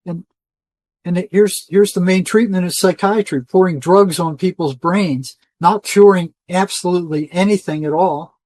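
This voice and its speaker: A man's voice: sits high, normal speed, a little mellow, no room sound. An older man talking as an interview guest in an insistent, emphatic way, repeating phrases for stress. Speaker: interview guest, retired psychotherapist. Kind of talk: conversational interview monologue.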